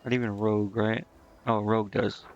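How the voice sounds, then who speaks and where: A man's voice: lowish voice, flat delivery, normal speed, muffled recording, a little hiss or hum. A man doing casual video game commentary as a streamer, thinking aloud in a rambling, hesitant way. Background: game music.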